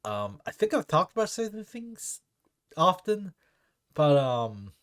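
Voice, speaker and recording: A young man with a deep voice chatting casually while opening gifts. He stammers and restarts his words often. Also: very animated, a bit bright. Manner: stammering, repeats words, halting. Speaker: Minecraft content creator.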